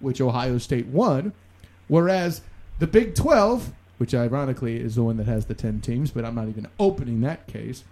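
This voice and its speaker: A man co-hosting a casual sports talk show, animated and opinionated, with a joking streak. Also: medium pitch, moderate pace.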